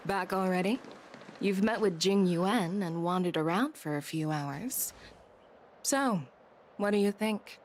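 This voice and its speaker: A woman's voice: mid-range voice, unhurried, slight background noise, lightly acted. An actress playing a bold female general in a video game, commanding, blunt and nonchalant. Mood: casual, teasing. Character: bold, brisk, self-assured female general and warrior. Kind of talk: scripted game voice acting. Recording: game music behind.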